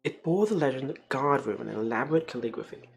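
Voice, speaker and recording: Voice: mid-range voice, lively intonation, fairly quick, vocal fry, slightly rough. A streamer reading a text adventure game aloud as story narration, with casual asides. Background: music.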